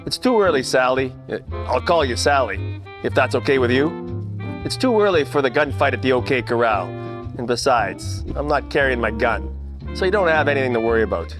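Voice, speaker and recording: An actor playing a world-weary, wisecracking 1940s private detective, narrating in hardboiled radio-play style with deadpan asides. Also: male voice, mid-range voice, brisk, long reverb tail, over music, natural voice. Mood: cool, needling. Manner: wry, colloquial, deadpan asides.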